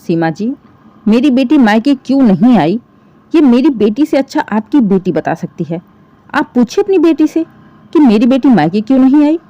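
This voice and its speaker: A woman's voice: a little high, sing-song, normal speed, warm tone, no room sound, muffled recording, pitched above the performer's own voice. Stern, indignant older mother-in-law, voiced by a woman. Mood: stern, defiant. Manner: stern, reproachful, lecturing. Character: indignant, aggrieved older mother-in-law scolding over her daughter.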